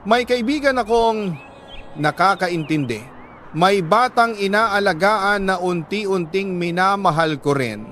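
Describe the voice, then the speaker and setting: A Filipino man reads a first-person letter as dramatic scripted narration, in short, measured phrases. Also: a little high, a bit bright.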